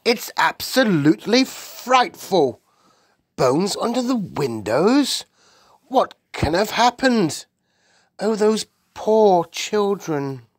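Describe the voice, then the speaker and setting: A woman's voice: low-pitched, big pitch swings, slow pace, no room sound, pitched above the performer's own voice, exaggerated voice. A woman voicing an imperious, well-bred Queen in an audiobook, with grand, emphatic, exclamatory delivery. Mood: horrified.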